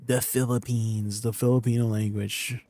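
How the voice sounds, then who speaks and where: Relaxed Canadian-raised male streamer, first language Tagalog, mid-pitched, chatty, laughs often. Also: normal speed, no room sound. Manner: laughs often, relaxed, lots of ums while explaining. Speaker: game streamer. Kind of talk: casual live-stream chat and gameplay tips.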